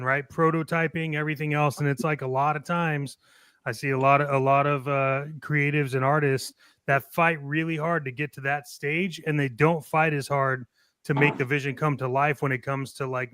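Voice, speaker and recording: A man's voice: on the high side, a bit bright, smooth, no room sound, clean studio sound. A man talking casually on a panel, making his points by acting out little bits of dialogue. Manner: illustrates points with acted-out dialogue. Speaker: podcast panelist.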